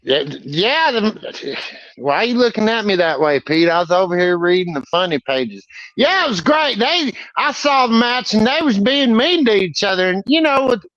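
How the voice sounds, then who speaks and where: Cocky, cursing male co-host with a high voice on a dull recording. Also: sing-song, normal speed, fairly bright tone, no echo. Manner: brash, profane, boastful. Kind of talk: casual podcast banter.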